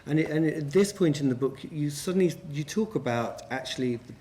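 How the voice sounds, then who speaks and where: A man's voice: on the high side, expressive, brisk, mellow. A male journalist interviewing a guest, who leads with questions and tag questions.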